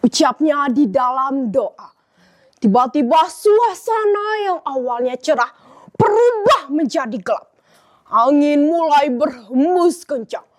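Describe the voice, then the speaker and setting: A teenage schoolgirl narrating a folk tale for a storytelling contest, dramatic and measured. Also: high voice, expressive. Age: teens. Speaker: student storyteller.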